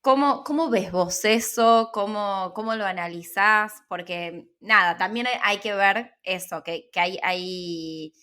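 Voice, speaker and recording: A woman's voice: medium pitch, slow, few pauses, bright, forceful tone. Argentine woman, hesitant casual podcast interviewer. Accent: Rioplatense Argentine Spanish. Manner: hesitant, searching phrasing with repeated words.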